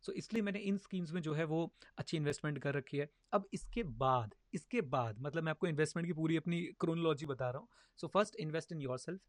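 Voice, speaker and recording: तेज़ बोलने वाला तीस वर्षीय पुरुष. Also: male voice, fairly high pitch, fairly quick, few pauses, dry recording, clean studio sound. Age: 30s. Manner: emphatic, mixes Hindi with English phrases. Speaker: finance educator, podcast host. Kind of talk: casual explanatory talk.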